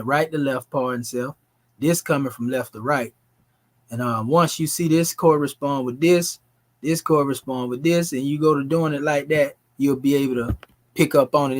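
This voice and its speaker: A man, teaching a language class in a relaxed, folksy way. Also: fairly high pitch. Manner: relaxed, folksy, dialect grammar.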